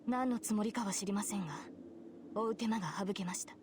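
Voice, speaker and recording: A woman's voice: mid-range voice, racing, darkish tone, slight background noise, close to an everyday voice. An actress playing a stern, dutiful young female knight spirit in a Japanese visual novel. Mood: cold, resolute.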